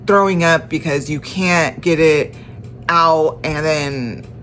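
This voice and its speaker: A young woman with a stuffy, congested voice, talking in a casual personal vlog, halting and tired, with many restarts and fillers. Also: low-pitched, a bit bright, a little hiss or hum. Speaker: vlogger.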